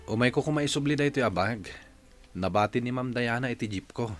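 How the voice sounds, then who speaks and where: A man's voice: medium pitch, a little fast, soft background music, natural voice. A man acting in a radio drama as a heartbroken young jeepney driver, polite and subdued. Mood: polite, shy.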